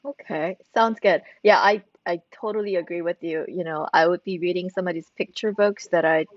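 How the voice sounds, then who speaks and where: A woman's voice: fairly low pitch, moderate pace, no echo, muffled recording. A woman hosting a casual interview as a parent, asking questions in a conversational way.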